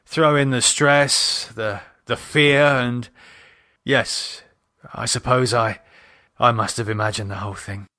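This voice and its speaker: A man voicing a shaken, exhausted man in an audiobook horror drama, halting and hesitant, with sarcasm and fear. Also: mid-range voice, fairly even intonation, bright, forceful tone, no room sound, clean studio sound. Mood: weary, hesitant.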